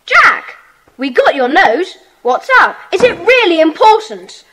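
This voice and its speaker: A woman playing a bossy, eager British schoolboy club leader in a children's audio drama, brisk and commanding. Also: very high-pitched, big pitch swings, a bit bright, slight background noise, full character voice, poor audio.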